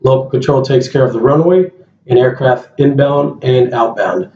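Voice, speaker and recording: A man's voice: medium pitch, moderate pace, warm tone. A man giving a plain-spoken informational presentation as an air traffic controller, addressing his listeners directly. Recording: roomy, dull lo-fi sound, faint noise.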